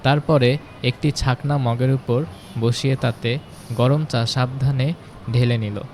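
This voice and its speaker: A man's voice: mid-range voice, steady tone, a little slow, a little mellow, a little hiss or hum. A man reading a scripted, story-like voice-over for a home cooking video. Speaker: home cooking channel narrator.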